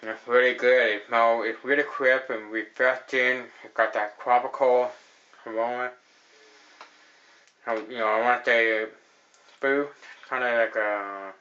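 A young man talks casually through a beer review, rambling and padding his words with fillers. His voice sits at an ordinary middle pitch for a man. The audio is a bit dull and lo-fi, picked up by a close camera mic in a small indoor room. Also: pushed and bright. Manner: rambling, repeats "actually", lots of fillers. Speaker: host of a beer review show.

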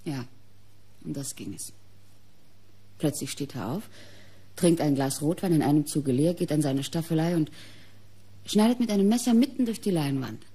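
Husky, bitter wife. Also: female voice, low voice, expressive, mellow, exaggerated voice. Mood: grave, defensive. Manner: theatrical, cutting, measured. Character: bitter, mocking wife of a painter. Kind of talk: German radio crime drama acting.

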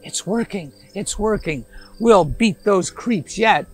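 A man's voice: high voice, lively intonation, slow, pitched above the performer's own voice, exaggerated voice. A man voicing a small, young female damselfly in a picture book, earnest and emphatic. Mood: urgent rallying.

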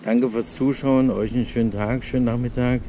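A man's voice: mid-range voice, fairly even intonation, fairly quick, background noise. A man with a German accent giving casual commentary in short, clipped phrases while filming a lakeside terrace outdoors on a handheld camera. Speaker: vlogger filming a restaurant terrace. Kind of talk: casual walk-through commentary.

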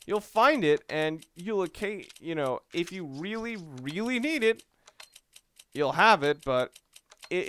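A man's voice: fairly high pitch, a bit bright, clean tone. A man giving casual game commentary, rambling and thinking aloud as he plays. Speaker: let's player.

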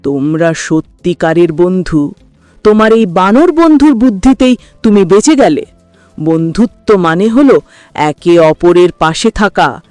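A regal cartoon lion, acted by a woman who speaks with pompous command as ruler of the jungle. The recording is close and dry, with a soft music bed behind the children's story. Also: lowish voice, expressive, a little slow, no echo, pitched below the performer's own voice. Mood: approving.

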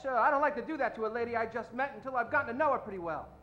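A man's voice: very high-pitched, rapid-fire, bright, forceful tone, highs cut off. A man playing a scrappy, streetwise vagrant in old-time radio style, with blunt, repetitive patter. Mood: cagey. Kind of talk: 1940s radio acting. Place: live stage before standing radio microphone.

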